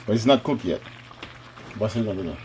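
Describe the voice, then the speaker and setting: A man's voice: on the low side, expressive, fairly quick, noisy background. A man casually talking viewers through a home cooking vlog, chatty and addressing them directly. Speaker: cooking vlogger.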